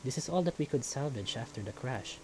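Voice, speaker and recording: A man's voice: mid-range voice, expressive, a little fast, noisy background, close to an everyday voice. Man playing a polite, deferential royal envoy. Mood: businesslike. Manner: courteous, formal. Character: polite, deferential visiting envoy in a visual novel.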